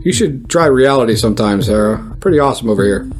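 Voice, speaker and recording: A man's voice: mid-range voice, animated, quick pace, music in the background, close to an everyday voice. Man playing a smug, dim male news reporter. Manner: condescending, dim, cocksure. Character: obtuse, smugly confident male radio news reporter. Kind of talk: comedic audio fiction, mock radio news.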